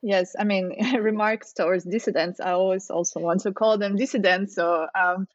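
A woman's voice: medium pitch, fairly even intonation, moderate pace, no room sound, dull audio. A woman from Russia, a researcher in her thirties, answering interview questions thoughtfully with frequent fillers and restarts. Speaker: guest author, researcher.

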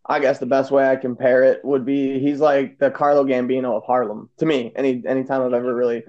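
A man's voice: mid-range voice, flat delivery, a little fast, darkish tone. Midwestern male host, casual swearing chat, dull lo-fi audio. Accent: Midwestern American. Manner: loose, rambling, swears casually.

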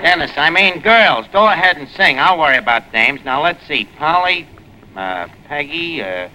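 Male actor as brash middle-aged fixer, slightly high, slangy wisecracks, 1940s radio comedy with heavy noise. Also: lively intonation, normal speed, close to an everyday voice. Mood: brash, scheming. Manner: wisecracking, malapropism-prone, streetwise. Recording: very noisy vintage radio recording.

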